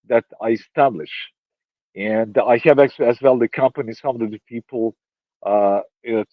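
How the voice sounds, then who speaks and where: A man whose first languages are Serbian and Romanian, answering interview questions in a halting way with many fillers and restarts. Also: mid-range voice, dry recording, highs cut off. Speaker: interview guest.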